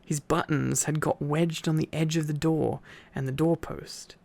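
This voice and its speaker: Slow, sleepy man with a creaky voice. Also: fairly high pitch, steady tone, darkish tone. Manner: affectionate, playful asides to a listener. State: sleepy, tired. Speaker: ASMR boyfriend roleplay storyteller. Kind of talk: intimate ASMR reading and sleepy rambling.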